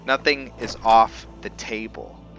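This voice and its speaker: A man hosting a roleplay playthrough with casual game commentary, halting as he thinks aloud. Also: lowish voice, steady tone. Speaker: gameplay host, roleplayer. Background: soft background game music.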